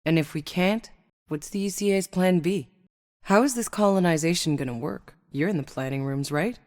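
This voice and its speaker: Casting: actress as tough female sci-fi game mercenary. Low-pitched, sardonic and blunt, recorded close and dry. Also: moderate pace, a little mellow, no echo, close to an everyday voice. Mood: teasing, casual. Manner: wry, blunt, colloquial. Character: tough, sardonic woman mercenary on an alien colony. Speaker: video game protagonist voice actor. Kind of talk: scripted game dialogue.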